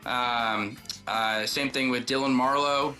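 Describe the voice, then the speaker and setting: Male country songwriter guest, medium register, bright forward tone, rambling conversational storyteller. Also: medium pitch, fairly even intonation, takes it slowly. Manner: loose, rambling, informal. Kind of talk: casual interview chat telling personal stories.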